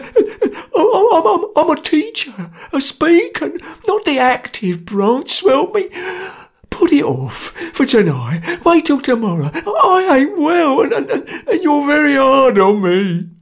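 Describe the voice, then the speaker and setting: Panicky stammering coward, squeaky and whining, swoops high and low, gravelly edge, as a reader puts him on. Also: male voice, heavily acted. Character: terrified cowardly male anarchist, whining.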